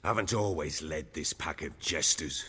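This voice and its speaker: An actor playing a rough, middle-aged warlord baron in a video game, gruff and husky yet sentimental. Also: male voice, rumbling low, normal speed, bright, forceful tone, in a large hall, heavily acted. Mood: gruff, matter-of-fact. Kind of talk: dramatic video game acting.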